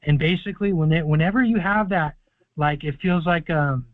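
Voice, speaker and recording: Male call-in host, higher voice, dry phone-line sound, confident long explanations. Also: moderate pace, dry recording. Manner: confident, explaining at length with fillers. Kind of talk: casual call-in advice talk. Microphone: phone or conference call. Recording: tinny conference phone line.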